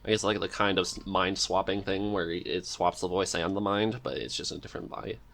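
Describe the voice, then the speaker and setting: A man with a fairly low voice hosts a relaxed podcast conversation. He talks casually and jokingly, with a giggly streak. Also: brisk, vocal fry. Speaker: podcast host. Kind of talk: casual interview chat.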